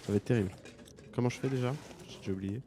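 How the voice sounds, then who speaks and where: A man's voice: mid-range voice, racing, background noise. A man with a French accent commenting a game he plays, joking and thinking aloud. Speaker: gaming streamer. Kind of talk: let's play commentary.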